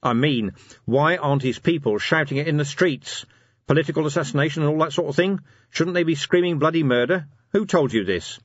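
Vimes, a man voicing a husky stern commander. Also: on the high side, a little fast, no room sound, highs cut off, voice pushed up. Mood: tense. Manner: terse, commanding.

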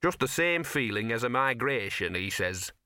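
A man's voice: lively intonation, normal speed, bright, forceful tone, clear, resonant tone, no room sound, studio quality. A man voicing a cheerful, scruffy male talking dog with a knowing, chatty manner. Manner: chatty, knowing, slightly higher.

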